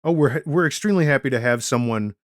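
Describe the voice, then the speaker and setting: A man's voice: mid-range voice, fast, no echo, clean studio sound. A man hosting a casual podcast chat, introducing guests with playful jokes. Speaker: podcast host.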